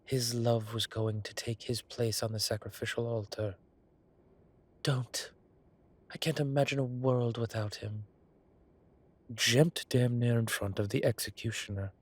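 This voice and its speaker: A man playing an ancient, teasing godlike being with a soft romantic streak, in a theatrical, drawling and indulgent manner for a roleplay audio drama. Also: medium pitch, fairly even intonation, measured pace, pauses often, breathy edge. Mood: wistful, smug.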